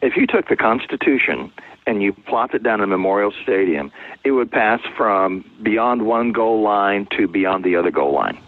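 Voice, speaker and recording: A man's voice: fairly low pitch, lively intonation, normal speed, no echo. A man being interviewed as a novelist, talking conversationally and explaining things through anecdotes. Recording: phone-like, narrow-band, dry sound.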